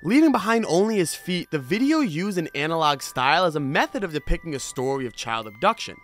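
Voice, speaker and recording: A man's voice: on the high side, very animated, brisk, fairly bright tone. A man hosting a video review, giving scripted commentary in an informal storytelling manner. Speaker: host of a horror video review channel. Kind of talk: scripted commentary with casual asides.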